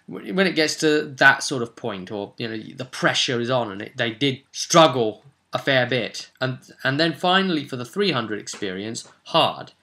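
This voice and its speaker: A man's voice: medium pitch, lively intonation, normal speed, few pauses, pushed and bright. A man giving an informal, explanatory tutorial in an emphatic, opinionated, conversational way. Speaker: host of a tabletop role-play tutorial series. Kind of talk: informal explanatory monologue with read-out rules.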